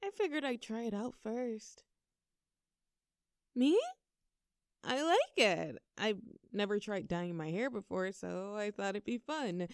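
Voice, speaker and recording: A woman's voice: medium pitch, expressive, slow pace, pauses often, clean tone, no room sound, clean studio sound. A young woman playing a bubbly, affectionate girlfriend in an intimate audio roleplay, talking playfully and tenderly to her partner in a coaxing, conversational way.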